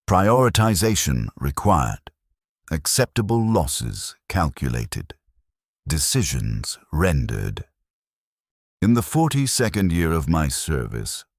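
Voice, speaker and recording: A man delivers scripted first-person narration in a profoundly low voice. His phrasing is measured and solemn, yet the pitch moves expressively. The sound is close and dry, suited to a cinematic story. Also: dry recording.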